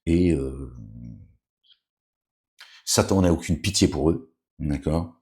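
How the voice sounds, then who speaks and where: A man with an extremely low, deep voice preaches in an offhand, rambling way. He keeps breaking off with fillers and asides to the people listening. Between readings he admonishes them with stern warnings. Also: slow, darkish tone. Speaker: religious commentator reading scripture. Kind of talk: informal spoken sermon with scripture reading.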